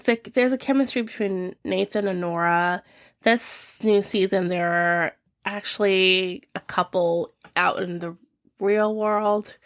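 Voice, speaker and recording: A woman's voice: fairly low pitch, no room sound, clean studio sound. A young woman chatting casually and enthusiastically as she reviews her favorite shows of the year, with many fillers.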